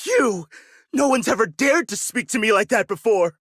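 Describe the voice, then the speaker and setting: A man's voice: high voice, lively intonation, normal speed, a bit bright, no echo, clean studio sound. An actor playing a haughty, blustering young man in a video game, speaking in a pompous, accusatory way. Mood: indignant.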